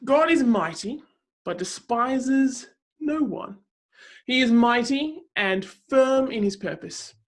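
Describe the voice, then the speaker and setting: A woman playing a zealous counselor who proclaims God's might in a declamatory, dramatic scripture reading with deliberate pauses. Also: on the high side, expressive. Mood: earnest, assured.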